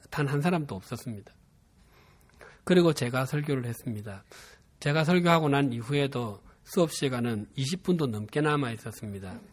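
A man in his fifties from Busan with a Gyeongsang dialect accent, preaching a sermon and telling a personal story in a measured, earnest way. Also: mid-range voice. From Busan, South Korea. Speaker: pastor.

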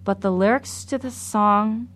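A woman preaching a sermon in a slow, measured, deliberate way. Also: mid-range voice, a bit bright, slight background noise.

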